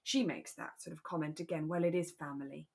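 A woman with a fairly low voice talks as a podcast co-host. She chats casually about a drama series in a reflective way, often checking that her listener agrees. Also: steady tone, a little fast, gravelly, a little mellow. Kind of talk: casual discussion of a radio drama.

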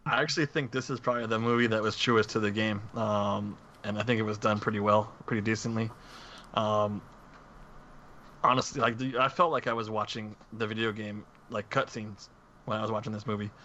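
A man with a bright, forward, lowish voice chats casually as a podcast co-host. He is tentative and self-correcting, and the recording is slightly dull with faint noise behind it. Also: steady tone, a little fast. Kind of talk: casual film discussion among friends.

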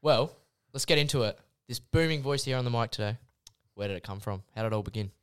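A man's voice: mid-range voice, quick pace, fairly bright tone, clean tone. A young Australian man co-hosting a podcast in an easygoing, rambling way. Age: young adult. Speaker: podcast co-host. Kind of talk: casual podcast chat. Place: podcast studio.

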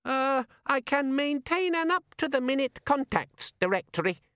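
High-pitched tiny demon,, chirpy, over-eager, gadget salesman patter, storybook fantasy. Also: big pitch swings, moderate pace, a bit bright, no echo, clean studio sound, exaggerated voice. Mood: nervously eager.

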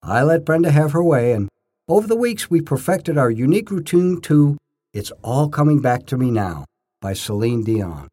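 An older man narrating his own scripted first-person stories in short, measured phrases with a storyteller's emphasis. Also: a little high, very animated, dark tone.

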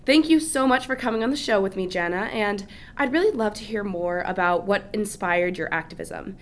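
A woman's voice: mid-range voice, fairly quick. Upbeat woman hosting a podcast. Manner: upbeat, eager. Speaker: podcast host. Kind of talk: scripted podcast intro and interview questions.

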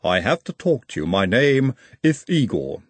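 A man doing a slow, formal stranger. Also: mid-range voice, sing-song, breathy edge, no room sound. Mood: grave. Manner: slow, measured and polite.

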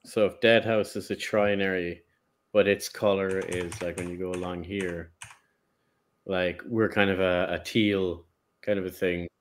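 A man's voice: low voice, flat delivery. A man co-hosting a podcast in loose, rambling casual chat. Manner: loose, rambling, with quick mimicking asides. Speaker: podcast co-host.

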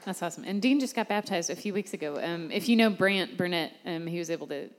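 A woman with a notably low voice introduces the next speaker and leads a short prayer, scattering filler ums through her words. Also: animated, fast, background noise. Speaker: church leader hosting testimonies.

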